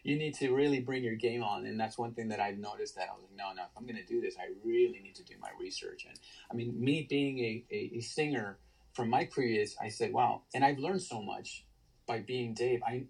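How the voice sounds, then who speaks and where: A man in his thirties with a baritone voice, telling stories with enthusiasm and lots of restarts in a casual podcast interview. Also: a little fast, gravelly, a little mellow, breathy edge, low-quality recording. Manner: enthusiastic storyteller, many restarts. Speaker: guest, tribute band singer.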